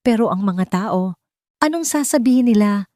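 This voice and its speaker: A woman's voice: medium pitch, lively intonation, normal speed, dark tone, dry recording, clean studio sound, pitched above the performer's own voice, lightly acted. A woman voicing a grateful, humble housemaid mother in a story, deferential and emotional. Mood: anxious, humble.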